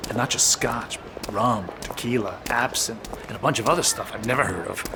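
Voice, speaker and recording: A man's voice: mid-range voice, fairly quick, background noise, close to an everyday voice. An actor playing a pragmatic, casual young male captain with a down-to-earth manner in an audio drama. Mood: friendly, casual. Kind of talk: full-cast audio drama acting. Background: music.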